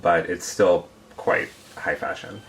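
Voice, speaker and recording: A man co-hosting a review channel, chatting casually in a measured, appreciative, conversational way about a product. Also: deep voice, fairly even intonation, a bit bright, rough recording. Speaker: doll review channel co-host.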